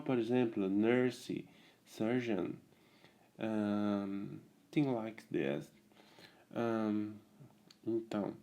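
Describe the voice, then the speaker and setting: A man teaching English in a casual video lesson, explaining in a relaxed way and often checking in with his viewers. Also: fairly low pitch. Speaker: self-taught English tutor.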